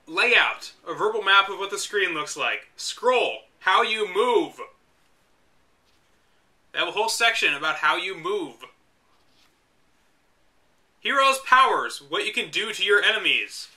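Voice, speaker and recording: A man's voice: high-pitched, sharp tone. A young man reading an old video game guide aloud and mocking it with dry asides. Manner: mocking, dry asides between read passages. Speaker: host reading a book aloud. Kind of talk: reading aloud with sarcastic commentary.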